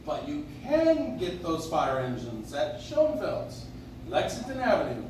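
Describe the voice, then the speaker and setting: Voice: medium pitch, huge pitch swings, unhurried. A performer playing a kindly old male Santa Claus in an amateur live radio play, speaking genially and reassuringly. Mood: jolly, reassuring. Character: kindly old department store Santa Claus, jolly man. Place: coffee house stage, recorded from the audience. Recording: distant audience recording, very echoey, noisy.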